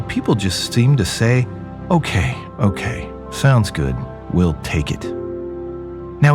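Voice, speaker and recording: A low male voice plays a jaded, rebellious young man in an audio drama. He narrates in first person with lively swings of pitch and wry, conversational asides. Faint music plays behind him.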